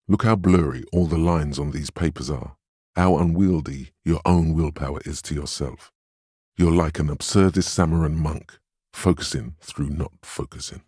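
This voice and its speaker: The grave inner voice of a middle-aged man's willpower for a video game. It is extremely low and a little husky, recorded close and dry. The delivery is measured and admonishing, with a dry wit and a protective firmness. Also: a little mellow, dry recording, exaggerated voice. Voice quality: very deep, solemn. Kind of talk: scripted game narration in second person.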